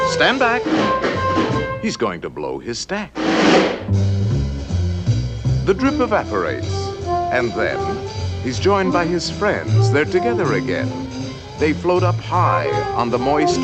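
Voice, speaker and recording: A man narrates a 1950s educational film in a playful storytelling manner, with a lively, swooping delivery. Loud orchestral music plays behind his voice throughout. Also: mid-range voice. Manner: warm, playful storytelling with rhyming lines.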